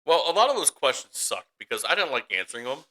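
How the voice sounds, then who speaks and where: A man's voice: medium pitch, big pitch swings, fast talker, pushed and bright, low-quality recording. A man co-hosting a casual podcast with his brother, telling rambling stories and laughing often. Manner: rambling storyteller, laughs a lot, acts out voices in anecdotes. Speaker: podcast co-host. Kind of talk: casual chat between brothers.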